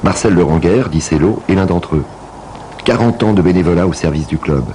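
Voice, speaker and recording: A man giving calm, measured scripted narration for a documentary. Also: low voice, slight background noise, poor audio.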